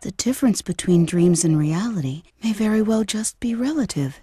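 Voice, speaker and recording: A woman voicing a soft-spoken, reflective woman in a video game, musing gently with some hesitation. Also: mid-range voice, lively intonation, moderate pace, close to an everyday voice.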